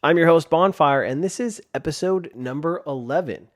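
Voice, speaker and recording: A man's voice: on the high side, normal speed. A man hosting a solo podcast in a casual, rambling way, his voice tired from a sore throat. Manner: rambling with frequent restarts. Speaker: podcast host. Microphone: desk microphone.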